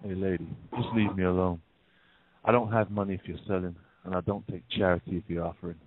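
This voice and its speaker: An actor playing a bitter, down-and-out small-time crook in a noir stage play, world-weary and rough-spoken. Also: male voice, low voice, fairly even intonation, fast, no room sound. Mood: weary, wary. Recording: tinny phone-line audio.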